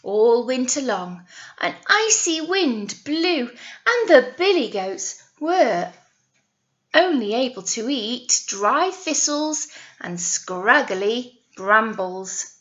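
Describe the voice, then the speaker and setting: A woman teacher reading a picture story aloud to young children, drawing out key words and pausing to ask them questions. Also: on the high side, sing-song, fairly bright tone, highs cut off. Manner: exaggerated, drawn-out words with questions to the listeners. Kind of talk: animated read-aloud storytelling with asides to children.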